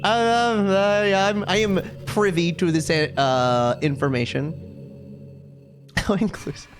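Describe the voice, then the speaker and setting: Male streamer, lighter high-set voice, casual and wry, reacting to a visual novel with game music underneath. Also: steady tone, slow. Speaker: live streamer. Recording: music playing behind the voice.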